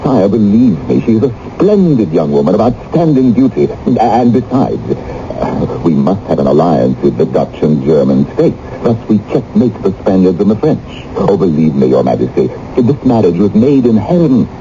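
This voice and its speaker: An actor playing a deferential, hesitant, flattering royal minister in a radio drama. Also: male voice, lowish voice, moderate pace, a little hiss or hum. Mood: persuasive, flattering. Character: wary, deferential, smooth-talking male royal minister. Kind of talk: old-time radio drama acting. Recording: muffled old radio broadcast.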